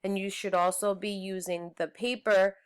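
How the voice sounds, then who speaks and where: A woman teacher reading a children's storybook aloud in a measured, deliberate way. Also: medium pitch, flat delivery, smooth. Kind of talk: storybook read-aloud narration.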